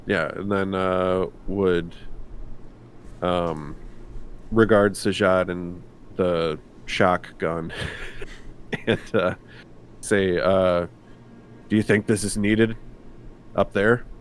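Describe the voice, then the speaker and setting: A man playing a tabletop game, describing his character's actions in a deliberate, halting way. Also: low-pitched, steady tone. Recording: noisy room recording.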